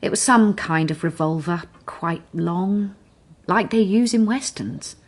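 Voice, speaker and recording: Low-voiced, snobbish rich widow. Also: female voice, a little slow. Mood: cool, detached. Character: aloof, snobbish wealthy widow, cool and evasive. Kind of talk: radio drama acting.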